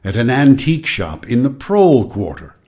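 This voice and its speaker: A man's voice: medium pitch, very animated, normal speed, darkish tone, close to an everyday voice. An actor playing a man's urgent, warning inner voice in a radio drama, giving clipped, bossy commands. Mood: needling, cautioning. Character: Winston's inner voice, urgent, warning, needling male conscience.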